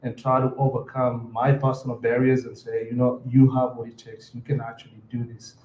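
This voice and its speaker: A man's voice: medium pitch, monotone, brisk, a little mellow, slight background noise. Man, Kenyan accent, panelist, earnest, repeats words, roomy slightly dull sound. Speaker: panelist entrepreneur. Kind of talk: panel discussion answer.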